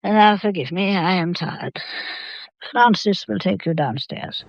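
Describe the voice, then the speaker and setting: A woman playing a stubborn, inquisitive old English matriarch in an audio drama, speaking formally and deliberately. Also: on the low side, highs cut off. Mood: stubborn, dismissive. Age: older.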